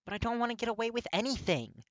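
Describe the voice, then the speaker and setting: A man voicing an anxious, tearful, self-blaming young man in a dramatic audiobook reading, halting and pleading. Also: very high-pitched, big pitch swings, fairly bright tone, no room sound, lo-fi sound, pitched above the performer's own voice, full character voice. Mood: desperate.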